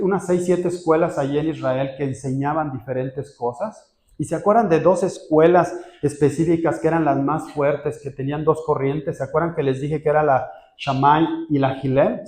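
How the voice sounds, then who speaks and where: A pastor preaching a sermon in a lively way, acting out quoted voices and often correcting himself mid-phrase. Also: male voice, a little high, roomy sound.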